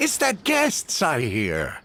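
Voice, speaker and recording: A man playing an elderly, humble village chief in a video game, speaking gently and courteously. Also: medium pitch, wildly swooping pitch, slow pace, slight background noise, full character voice. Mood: welcoming.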